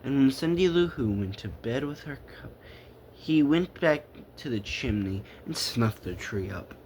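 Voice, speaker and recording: Male storyteller for children's read-aloud, slow and halting, amateur stumbles, home recording with faint noise. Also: medium pitch, expressive, darkish tone. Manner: halting, stumbling over the text.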